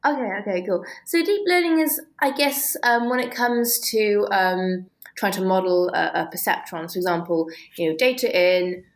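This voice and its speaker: A woman being interviewed, explaining ideas reflectively and haltingly as she thinks aloud. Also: mid-range voice. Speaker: interview guest, author.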